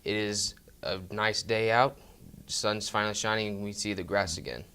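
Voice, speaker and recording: A man's voice: on the low side, normal speed, sharp tone, a little hiss or hum. A teenage boy interviewing his grandfather, asking friendly questions that sound prepared in advance. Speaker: student interviewer. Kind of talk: student interview.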